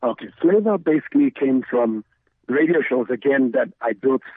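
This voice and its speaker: A thin, phone-like connection carries a middle-aged man. His voice is a little husky and dry of room sound, and he talks like an interview guest recounting his own story. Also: mid-range voice, animated, moderate pace, dry recording. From Zambia, the Copperbelt. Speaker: guest, radio DJ and station founder. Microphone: remote call connection.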